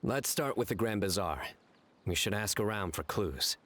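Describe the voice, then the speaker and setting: A man's voice: on the low side, animated, moderate pace, fairly bright tone, slight background noise. A man playing a composed, serious general in a video game. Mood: composed, purposeful. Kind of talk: video game character voice acting. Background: music.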